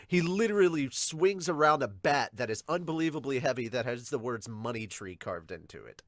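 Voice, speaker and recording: An enthusiastic man hosts a casual reaction show, recorded close and dry. He speaks at a middling pitch for a man. He leans hard on his words and swears freely as he comments. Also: lively intonation, normal speed, a bit bright, dry recording. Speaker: host of an anime and manga reaction show. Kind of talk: casual reaction commentary with dramatic read-alouds.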